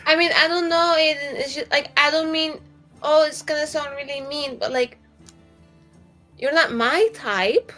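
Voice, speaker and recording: A woman playing a tabletop roleplaying game, trading casual in-character banter in a halting, like-filled way. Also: high-pitched, fairly bright tone, faint music behind.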